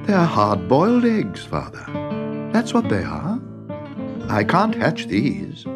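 A man voicing a clever young peasant girl in a storybook reading, calm and sly in manner. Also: medium pitch, takes it slowly, music in the background, pitched above the performer's own voice, full character voice. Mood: matter-of-fact.

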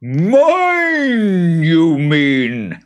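A man voicing a furious, shrieking male boggart from a storybook, with drawn-out yells. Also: fairly high pitch, wildly swooping pitch, voice pushed up, heavily acted. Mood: shrieking rage.

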